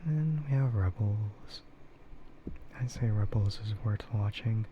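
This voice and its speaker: Male ASMR streamer, soft whispery delivery, unhurried and rambling, muffled recording with clear background noise. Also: fairly low pitch. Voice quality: breathy, soft, mostly whispered. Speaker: streamer, VTuber. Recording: dull, muffled audio with loud ambient noise.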